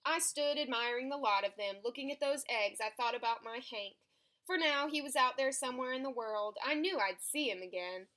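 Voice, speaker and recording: A woman reading a children's picture book aloud in an expressive storytelling style. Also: sits high, moderate pace. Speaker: storybook reader.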